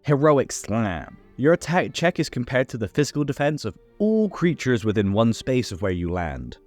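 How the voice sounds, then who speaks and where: A man's voice: mid-range voice, big pitch swings, normal speed, a little mellow, faint music behind. A man hosting a tabletop game tutorial, narrating a scripted explainer step by step with informal asides.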